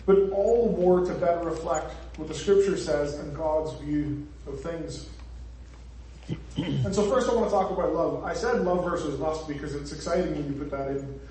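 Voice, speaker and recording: A chatty Northern Irish preacher, a man speaking a little high for his sex and tossing jokes and asides to the room. He sounds far from the microphone, and his voice rings around a very echoey church hall. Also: normal speed, warm tone, slight background noise. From Northern Ireland. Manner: chatty and joking, with asides to the congregation. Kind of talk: conversational sermon.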